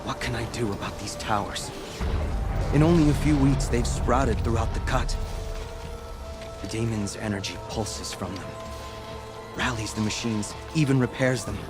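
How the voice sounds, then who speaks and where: A man's voice: medium pitch, normal speed, long pauses, over music, close to an everyday voice. A man playing a wary shaman's apprentice in a video game, speaking earnestly and rather formally. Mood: troubled, earnest. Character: guarded, earnest male shaman's apprentice of hunting tribe. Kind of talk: dramatic game dialogue acting.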